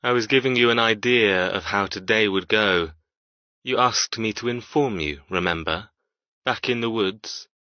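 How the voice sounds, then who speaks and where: A man's voice: fairly low pitch, a little slow, dry recording, natural voice. A man playing a cold, controlling, arrogant young man in an audiobook romance, speaking in a clipped, formal, commanding way. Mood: stern, impatient. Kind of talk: audiobook character dialogue. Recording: dull, muffled, dry recording.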